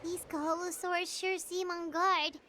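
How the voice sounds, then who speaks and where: Tiny fairy sidekick, extremely high, squeaky, childlike, cute, fussy, exaggerated game voice. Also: female voice, level tone, unhurried, background noise. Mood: puzzled. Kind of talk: video game voice acting.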